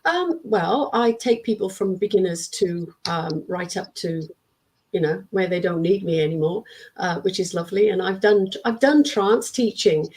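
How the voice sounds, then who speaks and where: Croaky-voiced woman medium. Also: medium pitch, moderate pace, rough recording. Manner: warm storyteller who acts out quotes of students. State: strained, worn-out throat. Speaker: spiritualist medium and teacher. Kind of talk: casual interview chat.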